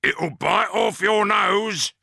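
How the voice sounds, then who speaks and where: A man voicing a nasty, scheming old husband with a gruff, gravelly voice. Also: medium pitch, slow, bright, forceful tone, no echo, clean studio sound, voice pushed up, full character voice. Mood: gloating.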